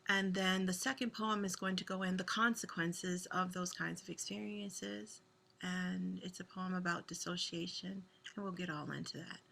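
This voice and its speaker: A woman with a soothing voice hosting an online poetry event over a video call, speaking in a gentle, reassuring and sincere way. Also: on the low side, steady tone, normal speed, vocal fry, a little hiss or hum. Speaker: host and poet.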